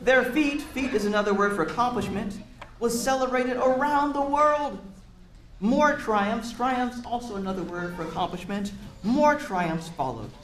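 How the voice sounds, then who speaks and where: A man's voice: very high-pitched, animated, measured pace, a bit bright. Man reading aloud to children in echoey room. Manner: encouraging, chatty asides explaining words to children. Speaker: read-aloud storyteller for children. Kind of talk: animated picture-book reading with questions to a young audience. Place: roomy indoor space with a group of children.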